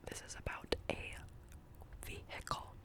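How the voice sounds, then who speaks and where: Asmr girl whispering super breathy, no real voice, repeats words n syllables over and over on purpose. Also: a little slow. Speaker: ASMR host. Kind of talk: whispered ASMR guessing game.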